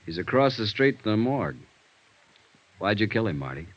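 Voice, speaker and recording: An actor playing a gruff, tired police sergeant in a vintage radio noir drama, blunt and hard-boiled. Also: male voice, on the low side, big pitch swings, normal speed. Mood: hard, accusing. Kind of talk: 1950s radio noir acting. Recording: dull, noisy old radio recording.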